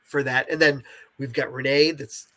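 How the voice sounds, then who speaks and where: A man's voice: mid-range voice, steady tone, normal speed, a bit bright. A man with a congested, nasal voice talking enthusiastically and explaining his creative work in a casual interview.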